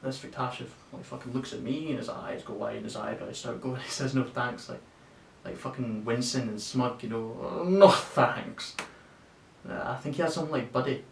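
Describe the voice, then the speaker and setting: A nineteen-year-old man playing a sweary, awestruck young lad, telling a story in a halting, conversational stage monologue. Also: fairly even intonation, vocal fry, natural voice. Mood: incredulous. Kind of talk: naturalistic contemporary stage monologue for an audition. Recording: echoey room, distant mic, noisy rumble.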